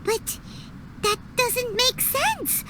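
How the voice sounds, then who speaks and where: Squeaky, excitable tiny fairy. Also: female voice, extremely slow, noisy background, exaggerated voice. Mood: confused, indignant. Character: tiny childlike female floating fairy companion, excitable. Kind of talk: video game voice acting.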